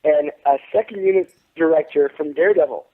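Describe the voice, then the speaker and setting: A man's voice: a little high, a little fast. Profane man ranting over a phone line. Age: 30s. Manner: profane, boastful, animated storytelling with quoted voices. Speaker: interview guest, actor. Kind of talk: casual phone interview.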